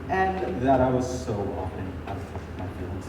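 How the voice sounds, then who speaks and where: A man's voice: very animated, fairly quick, natural voice. An actor on stage playing an aggrieved, doomed male revolutionary leader with theatrical, declamatory delivery. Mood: resigned, musing. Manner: declamatory, swinging between outrage and wistful musing. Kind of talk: theatrical comic stage acting. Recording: echoey hall from far off, noisy low-quality audio.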